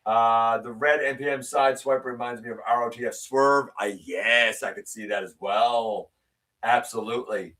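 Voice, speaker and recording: A man hosting a live stream, reading viewer comments and answering them in casual, opinionated chat, his voice sometimes a little raspy. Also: steady tone, normal speed, bright, forceful tone, recorded from a distance. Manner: opinionated, ranting with rising pitch when worked up.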